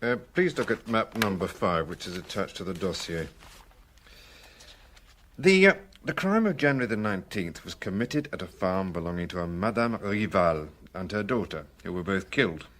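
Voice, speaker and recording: An actor playing a methodical, respectful male police superintendent, giving formal, measured reports in a British radio crime drama. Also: sits low, big pitch swings, a bit bright, exaggerated voice. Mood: methodical, reporting.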